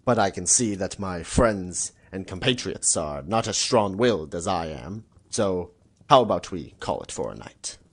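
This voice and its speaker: A man's voice: deep voice, lively intonation, measured pace, slightly rough, exaggerated voice. A man voicing a boastful, easily scared echidna warrior in a fan cartoon, with pompous, stilted formal speech. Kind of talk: fan-film cartoon acting.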